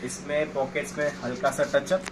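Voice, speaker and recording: A man who runs a wholesale clothing shop, giving a persuasive sales pitch for his stock. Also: little pitch movement, moderate pace. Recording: noisy shop, sometimes off-mic.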